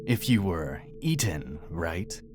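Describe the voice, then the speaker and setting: A man voicing a big bad wolf in a dark fairy tale, sly, cruel and sinister, with a low growl. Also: sing-song, slight background noise, full character voice. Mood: scheming. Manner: slow, sinister, drawn-out. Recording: music behind the voice.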